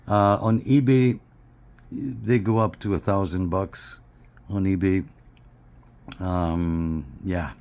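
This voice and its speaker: A man giving a casual, unscripted hands-on review, speaking haltingly and often repeating his phrases. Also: on the low side, a little mellow. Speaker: radio reviewer and CB operator.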